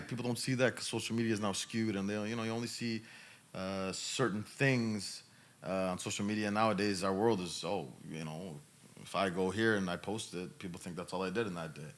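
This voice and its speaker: Low-voiced man in his thirties. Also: normal speed, a bit bright. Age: 30s. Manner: emphatic, repeats phrases. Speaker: podcast host answering questions. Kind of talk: casual conversational talk.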